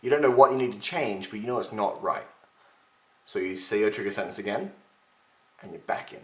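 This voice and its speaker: Chatty young man with a strained, gravelly voice. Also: fairly low pitch, fairly quick, fairly bright tone, reverberant. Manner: chatty, self-mocking, gestures with his hands. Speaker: voice impression tutor. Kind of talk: casual webcam tutorial. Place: home room with shelves, indoors. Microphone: webcam at desk distance.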